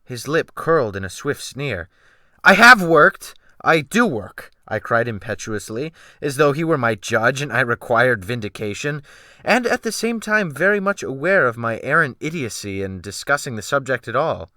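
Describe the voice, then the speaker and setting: A man narrates literary fiction with a theatrical flair. His voice is bright and forceful, recorded very dry and close, and his delivery moves expressively through each phrase. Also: mid-range voice, lively intonation, normal speed, no echo. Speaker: audiobook narrator.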